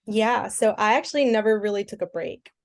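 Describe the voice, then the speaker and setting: A woman in her twenties from the United States answers as a podcast guest at a middle pitch. Her tone is relaxed and matter-of-fact. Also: fairly quick, gravelly. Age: 20s. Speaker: guest, fashion content creator. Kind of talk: casual interview answers.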